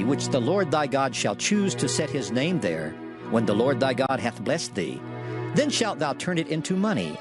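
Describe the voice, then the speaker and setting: A man giving a solemn, measured, declamatory reading of scripture in a dramatized production. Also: medium pitch. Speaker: scripture reader. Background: background music.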